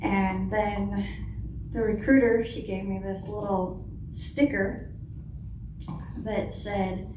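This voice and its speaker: A middle-aged woman with a soft voice, giving a hesitant personal testimony to an audience. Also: medium pitch, flat delivery, slow pace, pauses often. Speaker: guest speaker, foundation founder. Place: indoor conference room. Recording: roomy hall, distant mic, faint noise.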